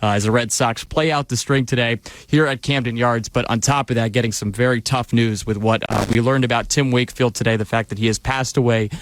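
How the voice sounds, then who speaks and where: Mid-pitched radio studio host, dry sound. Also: male voice, a little fast, no room sound. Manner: steady broadcast delivery with filler words. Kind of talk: pregame radio show hosting. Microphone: studio microphone.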